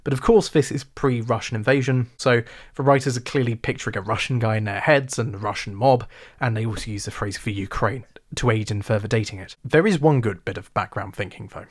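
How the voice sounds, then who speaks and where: A man's voice: mid-range voice, a little fast. English RP man, wry video essay. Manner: wry, sarcastic, animated delivery. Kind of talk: scripted video essay narration.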